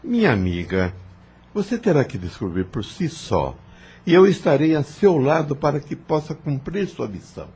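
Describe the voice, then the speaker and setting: Spirit mentor, male actor: solemn, formal, patient teacher, heavily rasped husky voice, expressive intonation, audio drama. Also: on the high side, normal speed, full character voice. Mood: solemn, instructive. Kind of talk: audio novel acting.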